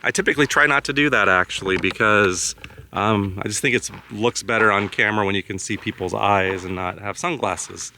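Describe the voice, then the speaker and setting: A man with a raspy voice vlogging casually outdoors in the desert, thinking aloud as he goes in and out of his truck. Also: lowish voice, brisk, background noise. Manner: thinking aloud, rambling with fillers. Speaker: travel vlogger. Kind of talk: casual travel vlog.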